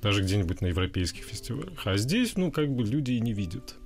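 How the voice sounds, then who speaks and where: A man with a fairly low voice gives an informal interview answer. He feels his way through sentences haltingly, dropping in colloquial, slangy turns. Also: very animated, darkish tone, faint music behind. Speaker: interview guest, animation artist.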